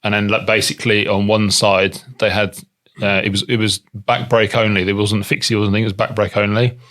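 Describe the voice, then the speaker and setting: A man's voice: fairly low pitch, flat delivery, brisk, fairly bright tone. A man with a Norwegian accent hosting a podcast, asking loose, rambling questions with many restarts. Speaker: podcast host. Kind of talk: casual podcast interview.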